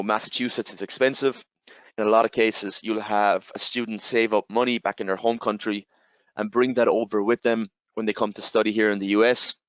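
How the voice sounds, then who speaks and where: A man with an Irish accent, giving a webinar presentation and explaining step by step, with steady fillers and repeated "again". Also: on the low side, clear, resonant tone, dry recording. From Ireland. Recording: slightly dull, dry webinar audio.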